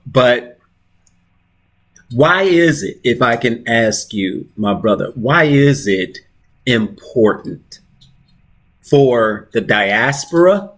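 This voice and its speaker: A man hosting an interview on a video call, soft-spoken and stammering a little as he starts his sentences. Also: mid-range voice. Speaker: host. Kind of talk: conversational interview.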